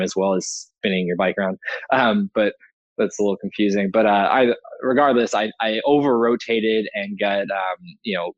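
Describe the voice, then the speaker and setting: A man's voice: on the low side, dry recording. An American man in his thirties telling a personal story as an interview guest in a conversational way, acting out quoted dialogue. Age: 30s. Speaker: interview guest, BMX athlete. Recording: dull, lo-fi audio.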